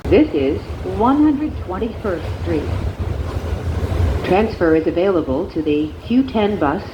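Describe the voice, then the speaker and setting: Slow, lilting woman announcing on a train. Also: expressive. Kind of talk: pre-recorded transit announcement. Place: inside a subway train, over speakers. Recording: train announcements with loud ride noise. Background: train rumble and ambience.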